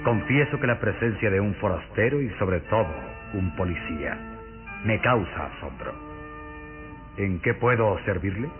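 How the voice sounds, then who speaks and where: An actor playing a sinister, courteous vampire count in a radio drama, with an authoritative, icy, formal manner. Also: male voice, on the low side, animated, normal speed, lightly acted. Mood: icy welcome. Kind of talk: old Latin American radio drama acting. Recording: muffled old radio recording with music.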